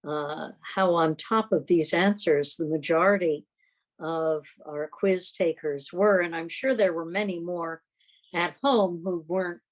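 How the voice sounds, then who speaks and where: A middle-aged woman chairing a club meeting on a video call, talking casually in a halting, rambling way. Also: sits low, low-quality recording.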